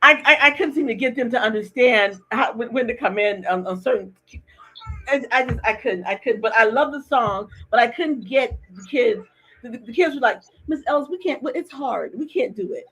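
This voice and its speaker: A woman's voice: a little high, normal speed, fairly bright tone, husky, poor audio. A woman hosting a casual interview, talking in a chatty way with lots of restarts and repeated words. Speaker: podcast host. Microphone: remote streaming call.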